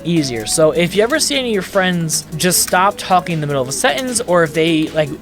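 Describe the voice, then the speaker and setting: Podcast host, man, voice on the high side, chatty explainer, faint music underneath. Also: sing-song, quick pace. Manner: explains step by step, loose and chatty. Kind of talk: casual podcast chat.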